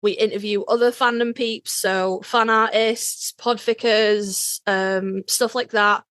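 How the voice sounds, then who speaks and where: A woman's voice: mid-range voice, fairly even intonation, slow, fairly bright tone, clean tone, no echo, clean studio sound. A woman co-hosting a podcast in casual fan chat, informal and emphatic, repeating phrases to drive a point home. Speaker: podcast co-host.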